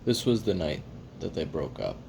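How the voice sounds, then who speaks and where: Man playing a deep gravelly jaded assassin. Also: huge pitch swings, moderate pace, heavily acted. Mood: subdued, reflective. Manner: profane, wry, rambling, self-deprecating. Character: jaded hard-drinking male assassin recording his memoirs. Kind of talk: confessional audio roleplay monologue. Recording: audible hiss and low rumble.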